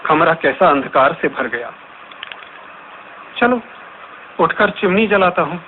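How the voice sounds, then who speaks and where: Slow, animated worried ordinary man. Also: a little high. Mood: startled, dismayed. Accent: Indian. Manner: theatrical, musing aloud to himself. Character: worried ordinary man at home hearing the news. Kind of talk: radio drama soliloquy. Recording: noisy, tinny phone-like radio broadcast recording.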